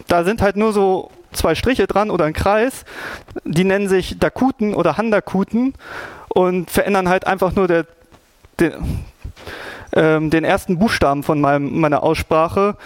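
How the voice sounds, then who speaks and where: High-voiced man explaining casually. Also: normal speed, dry recording. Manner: filler-heavy, rambling, frequent restarts. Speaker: presenter explaining Japanese scripts.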